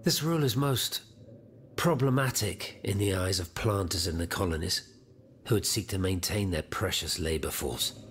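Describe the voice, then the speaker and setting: A man's voice: low-pitched, normal speed, fairly bright tone, a little hiss or hum. A man playing a concerned, peacemaking French priest in a period game drama, speaking earnestly and formally.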